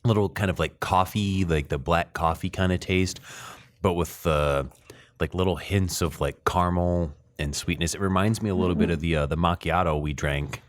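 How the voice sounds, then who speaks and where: A man with a very low, deep voice hosts a casual beer podcast. He rambles loosely and piles on fillers and restarts as he talks. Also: steady tone, moderate pace. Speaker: podcast host. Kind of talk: casual chat.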